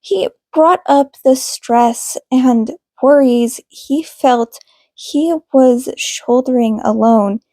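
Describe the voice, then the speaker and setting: A woman's voice: sits high, slow pace, mellow, no echo. A woman reading scripted fiction aloud as the narrator of an amateur audiobook. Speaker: podfic reader.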